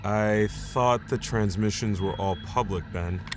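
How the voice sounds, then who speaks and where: Man acting weary, skeptical colleague, lowish voice. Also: a little slow, noisy background, lightly acted. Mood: puzzled, uneasy. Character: tired, skeptical male scientist colleague. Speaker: actor in an audio drama. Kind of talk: naturalistic dramatic acting.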